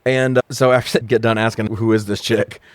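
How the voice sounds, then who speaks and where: A man's voice: on the low side, level tone, fairly quick, dry recording. A man co-hosting a casual movie-review show, recapping plots in a sweary, irreverent, joking way. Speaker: podcast co-host.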